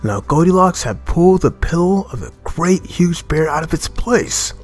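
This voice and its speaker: A man narrating a children's tale as a storyteller, reading expressively in a measured manner. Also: fairly high pitch, expressive, slightly rough, faint music behind.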